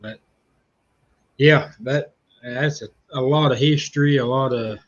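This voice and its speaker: A man with a rural Southern accent hosting a casual livestream chat, speaking hesitantly with frequent pauses. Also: mid-range voice, fairly even intonation, highs cut off. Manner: hesitant, lots of uh fillers, reads comments aloud.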